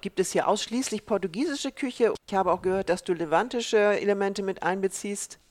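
A podcast host reading prepared interview questions and offering warm compliments. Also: mid-range voice, brisk, no echo.

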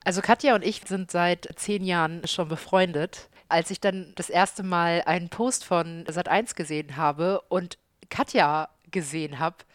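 A woman in her thirties with a Hamburg accent, a chef and restaurant owner answering interview questions with lively enthusiasm. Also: on the low side, a little slow, few pauses, dry recording, clean studio sound. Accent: Hamburg German. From Hamburg, Germany.

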